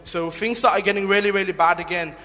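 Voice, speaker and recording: A young man from Nepal shares his testimony in a church hall, speaking earnestly and haltingly, full of ums and restarts. His voice sits a little high, and his words ring out with long reverb across the room. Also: steady tone, sharp tone. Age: 20s. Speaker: guest giving a testimony.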